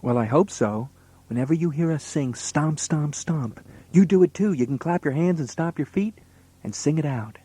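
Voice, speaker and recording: A man's voice: fairly high pitch, expressive, brisk, warm tone, slightly rough. A man working as a children's entertainer, playing the straight man in comedy banter and explaining things to young listeners.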